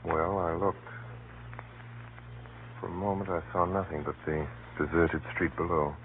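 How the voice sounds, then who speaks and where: A man acting a grave gentleman who narrates a spy plot in an urgent, deliberate, dramatic 1930s radio drama style. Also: low voice, steady tone. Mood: hushed, recounting. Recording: muffled, noisy 1930s radio recording. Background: faint hiss and noise.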